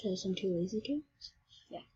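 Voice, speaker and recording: A woman commenting on a game she plays, excitable and jumping between shrieks and hushed whispers, sick and shivering with cold. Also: medium pitch, normal speed, slightly rough, dull audio. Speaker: game video creator. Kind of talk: casual gameplay commentary.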